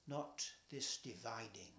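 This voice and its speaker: An older man reading a poem aloud at home, slow and measured, his voice falling soft at the ends of lines. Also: fairly low pitch, creaky, reverberant. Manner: slow, measured, trailing softly at line ends. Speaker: poetry reader. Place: home kitchen, indoors.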